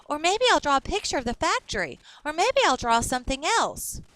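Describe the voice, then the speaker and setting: Woman reading as an eager, higher-pitched schoolgirl. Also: sing-song, a little fast, fairly bright tone, no room sound, rough recording. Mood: eager, determined. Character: earnest, determined schoolgirl in a storybook.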